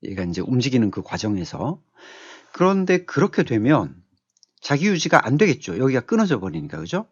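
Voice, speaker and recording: A man giving an unscripted software tutorial as an instructor, explaining step by step and asking rhetorical questions. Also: mid-range voice, big pitch swings, moderate pace, breathy edge, no echo, dull audio. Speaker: automation instructor. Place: dry-sounding voice-over on a screen recording.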